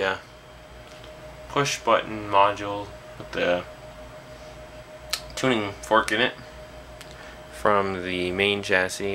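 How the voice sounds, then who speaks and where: A man's voice: fairly low pitch, vocal fry, bright, forceful tone, slight background noise, rough recording. A man talking casually and off the cuff as a hobbyist presenter, hesitantly thinking aloud at an indoor workbench on a camera's built-in mic. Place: small indoor workshop at a bench.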